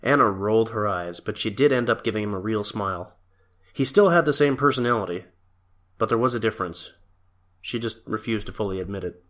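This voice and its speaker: Low-voiced male story narrator. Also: brisk, fairly bright tone.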